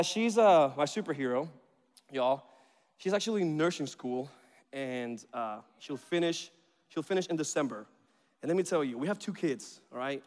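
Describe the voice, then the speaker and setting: A man in his thirties from Venezuela, whose first language is Spanish, preaching in a chatty, joking way with bursts of excitement. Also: medium pitch. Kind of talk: informal sermon introduction. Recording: reverberant hall.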